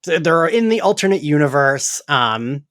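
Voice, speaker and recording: A man's voice: fairly high pitch, animated, unhurried, fairly bright tone, no room sound, clean studio sound. A man hosting a podcast in casual chat, conversational and peppered with "like" and tag questions. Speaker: podcast host.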